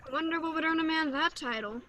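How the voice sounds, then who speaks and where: A woman's voice: high voice, fast talker, bright, forceful tone, low-quality recording. A woman playing a cocky, bumbling male secret agent with a flippant, breezy manner in a comedy script read. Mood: defensive.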